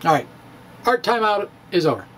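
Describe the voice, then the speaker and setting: Man, middle-aged, playful theatrical reading, lively swooping pitch, close mic in a home study. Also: normal speed, slight background noise. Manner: playful, theatrical, with chuckles and asides. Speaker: physics blogger. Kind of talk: dramatic reading of his own blog. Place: home study with bookshelves, indoors. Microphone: studio microphone on stand, close.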